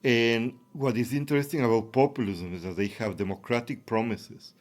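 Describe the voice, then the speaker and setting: A man's voice: medium pitch, steady tone, normal speed. A man from Ecuador, a native Spanish speaker with an Ecuadorian accent, giving reflective interview answers as an academic guest.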